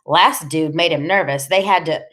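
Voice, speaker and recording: A woman's voice: deep voice, moderate pace, a bit bright. A woman voicing a nosy, smoking biker woman in an audiobook story, colloquial and wheedling. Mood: wary. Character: squinty, smoking, nosy biker woman, timid before boyfriend.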